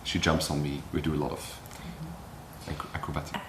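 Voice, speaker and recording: A young man from Belgium talks casually about his acrobat work, filling his sentences with "like" and "uh". His voice is very low. The room is echoey, with faint noise behind him. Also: brisk. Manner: relaxed, filler-heavy with frequent "like". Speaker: circus acrobat. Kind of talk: casual interview talk.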